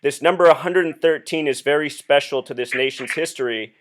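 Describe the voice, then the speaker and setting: A man with a somewhat high-pitched voice talks as a caller laying out his case. He is earnest and insistent, explaining point by point with deliberate phrasing and pointed questions. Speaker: caller phoning the police with a theory. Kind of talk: earnest explanatory phone monologue.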